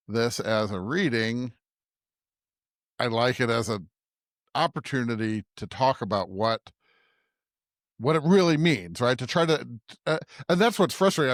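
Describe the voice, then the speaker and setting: A man's voice: medium pitch, a bit bright, no echo. A man with a mid-range voice in a very dry recording. He chats as a podcast co-host about scripture, feeling his way through each thought and often restarting. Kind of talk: casual conversational commentary.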